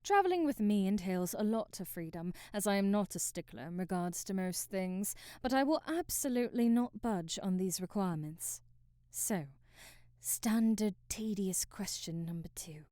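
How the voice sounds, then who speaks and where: A woman's voice: medium pitch, lively intonation, moderate pace, mellow, clean tone, no room sound, studio quality, lightly acted. A woman playing an eccentric traveling magician, brash, teasing and flirtatious, in an intimate, theatrical ASMR roleplay. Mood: businesslike, stern. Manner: theatrical, teasing, drawling asides and murmurs.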